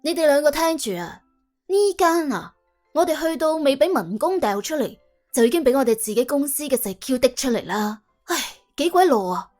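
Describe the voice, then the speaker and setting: Narrator doing a sardonic young woman debt collector, highish voice, streetwise, full of rhetorical jabs, audio drama. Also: big pitch swings, a little fast, darkish tone, faint music behind. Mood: exasperated. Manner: colloquial, sardonic, exclamatory, argues with rhetorical questions. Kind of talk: audio drama character acting.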